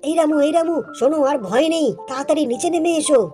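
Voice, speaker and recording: A woman voicing a clever, friendly male goat with a coaxing way of talking in an animated folk tale for children. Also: high-pitched, fast talker, soft background music, natural voice. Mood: friendly, caring. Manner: coaxing, pleading.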